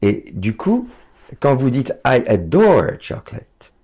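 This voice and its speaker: A man's voice: mid-range voice, big pitch swings, slow pace, warm tone, breathy edge. A man conducting a conversational interview, explaining ideas expressively with examples.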